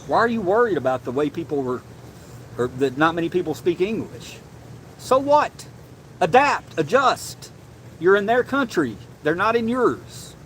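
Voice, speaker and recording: Animated man chatting with his stream. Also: high voice, unhurried, a little hiss or hum. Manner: animated, swears casually, says bro and man a lot. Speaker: livestream host reading chat. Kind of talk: casual livestream chat. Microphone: desk mic, sometimes leaning away.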